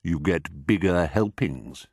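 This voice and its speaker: A man's voice: low voice, animated, takes it slowly, darkish tone, husky, dry recording, clean studio sound, full character voice. A man voicing an enormous, greedy crocodile in a children's storybook, boastful and sly, gloating over his words. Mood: scornful, relishing.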